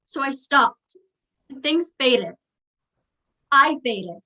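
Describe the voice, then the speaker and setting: Actress as a high-voiced, wounded college-bound daughter. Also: female voice, animated, drawn-out words, pushed and bright, exaggerated voice. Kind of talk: staged play reading.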